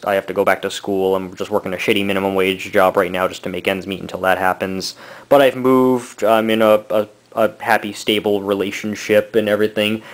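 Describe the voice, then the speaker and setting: A man in his early thirties talks through a review in a loose, rambling way, halting on fillers and breaking into short laughs between thoughts. His voice sits on the low side. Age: 30s. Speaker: book and comic reviewer.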